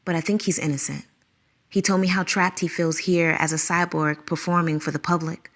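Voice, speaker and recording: A woman's voice: on the low side, fairly even intonation, normal speed. A woman playing Mona, a conflicted young teacher. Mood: earnest. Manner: reflective, conflicted, soft-spoken. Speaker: narrator and lead character. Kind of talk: audio drama acting.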